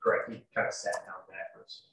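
A man's voice: fairly quick, sharp tone. A young man testifying in court as a sheriff's deputy, formal and matter-of-fact. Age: young adult. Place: room at court, on video call. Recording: echoey, distant video-call audio with noise.

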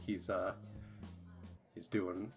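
A man chatting casually as a podcast guest, easygoing and a little halting in how he gets his sentences out. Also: sits low, level tone, soft background music.

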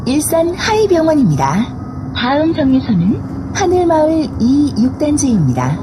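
A woman reading scripted transit stop announcements in a formal, clearly enunciated way. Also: high voice, wildly swooping pitch, unhurried. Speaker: bus announcer. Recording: clear vehicle noise throughout. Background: bus ambience and vehicle noise.

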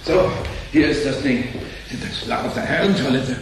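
A man playing an excitable, anxious bank employee in a radio play. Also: medium pitch, normal speed, gravelly, a loud crowd, lightly acted. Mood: matter-of-fact. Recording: roomy echo.

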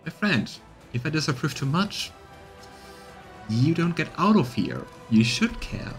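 A man voicing a calm, probing crusade commander in a fantasy game, speaking in a measured way. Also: on the high side, soft background music, pitched above the performer's own voice. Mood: stern. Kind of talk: voiced fantasy game dialogue.

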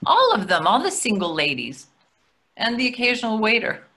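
A middle-aged woman playing a brisk, wry wife and mother in a play reading, dry and teasing over a video call. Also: on the high side, wildly swooping pitch, pushed and bright, exaggerated voice. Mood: playful. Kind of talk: readers theatre play reading. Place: indoors at home, on a video call.

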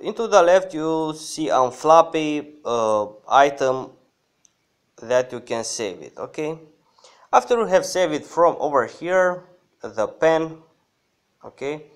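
Slow-talking man walking through an app. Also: a little high, lively intonation, clear, resonant tone. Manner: halting, hesitant. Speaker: app review host. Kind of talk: casual screen-recorded app tutorial.

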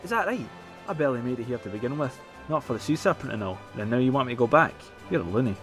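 A man's voice: mid-range voice, expressive, quick pace, a bit bright, faint music behind, close to an everyday voice. A man playing an indignant young adventurer in a fantasy game dub, speaking bluntly and with exasperation. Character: indignant young male adventurer fresh off the boat.

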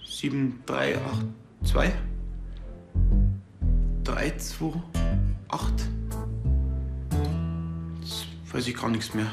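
A man's voice: medium pitch, extremely slow, gravelly, long pauses, heavily acted. An actor playing a flustered, bumbling, forgetful policeman in a comedy series. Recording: roomy echo, music behind.

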